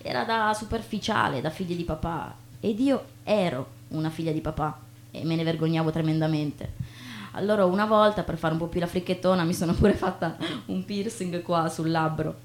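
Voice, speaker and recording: A woman acting on stage as a chatty, naive young woman, expressive and colloquial. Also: lowish voice, moderate pace, close to an everyday voice. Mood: chatty, self-mocking. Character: chatty, inquisitive, self-deprecating young woman, breezily naive. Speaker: stage actress. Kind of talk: theatrical monologue and dialogue. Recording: noticeable background noise with hum.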